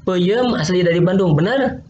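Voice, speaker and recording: A man's voice: sits high, animated, normal speed, darkish tone, lo-fi sound, slight background noise. A man teaching a logic lesson online, explaining patiently and repeating points with many small checks like "right?".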